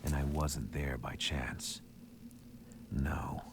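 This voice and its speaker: An actor playing a weary, haunted man who broods as the noir narrator of a horror video game, slow and grave. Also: rumbling low, level tone, slight background noise, heavily acted. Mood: grim, brooding. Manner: slow, grave, brooding delivery with long breaks.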